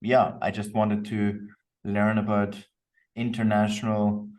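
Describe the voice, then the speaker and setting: A man's voice: lowish voice, completely flat delivery, takes it slowly, darkish tone. Austrian man, easygoing, off the mic. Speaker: podcast guest, company co-founder. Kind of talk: reflective interview talk. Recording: distant microphone.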